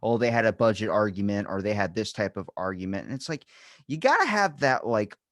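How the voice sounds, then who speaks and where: A man's voice: on the low side, very animated, a little fast, fairly bright tone, dry recording, studio quality. A man hosting a podcast in casual unscripted chat, talking in a rambling, emphatic way and swearing freely. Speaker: podcast host.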